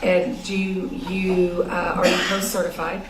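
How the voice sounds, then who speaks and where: Low-voiced woman questioning formally in court. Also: flat delivery. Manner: polite, formal, measured questioning. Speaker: prosecutor. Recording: echoey courtroom, distant mic, noisy.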